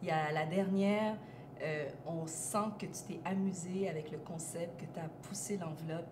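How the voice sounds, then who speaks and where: A woman's voice: fairly low pitch, steady tone, moderate pace. A woman who hosts the interview and asks friendly, probing questions. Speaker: interviewer host. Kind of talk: casual interview. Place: café. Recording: echoey noisy café.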